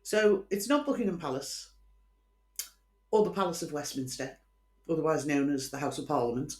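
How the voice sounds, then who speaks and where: A woman's voice: low voice, animated, normal speed, a little mellow, reverberant. A woman from Liverpool with a Scouse accent hosts a chatty true-crime show, telling the story with opinionated, wry asides. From Liverpool, England. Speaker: host.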